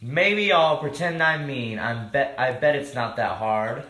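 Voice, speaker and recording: A man as a gentle storybook monster, echoey room. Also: mid-range voice, a little slow. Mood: scheming. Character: gentle, too-nice hairy monster, childlike and earnest. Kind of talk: children's storybook character reading. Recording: echoey room with background noise.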